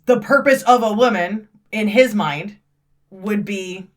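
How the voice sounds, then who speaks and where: A woman in her thirties co-hosting a podcast, chatting in a blunt, brash way with plenty of swearing and quick laughs. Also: medium pitch. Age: 30s. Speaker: podcast co-host. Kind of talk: casual chat.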